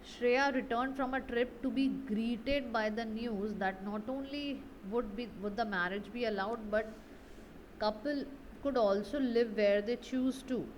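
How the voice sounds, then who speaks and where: A woman's voice: on the high side, measured pace, low-quality recording. Woman teacher, noticeable background noise. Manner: didactic, often ends points with a checking tag question. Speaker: English grammar teacher. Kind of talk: explaining grammar exercise answers.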